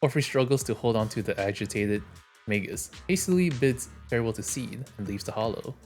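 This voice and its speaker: Male game streamer, slightly deep voice, reading on-screen story aloud, soft music behind. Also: fairly quick.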